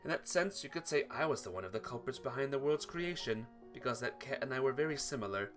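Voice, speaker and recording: A man's voice: mid-range voice, lively intonation, quick pace, music in the background. A man narrating a visual novel playthrough, reading the story text aloud.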